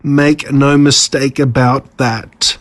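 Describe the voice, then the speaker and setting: A man's voice: mid-range voice, steady tone, slow pace, lightly acted. A man voicing a smug, menacing villain in a storybook reading, pompous and theatrical in his delivery. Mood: menacing, bitter. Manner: pompous, gloating, dramatic.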